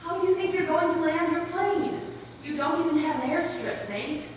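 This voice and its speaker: A woman's voice: very high-pitched, normal speed, distant mic. A woman playing a worried, cautious wife of a missionary pilot in a readers theater piece. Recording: echoey hall from afar, faint noise.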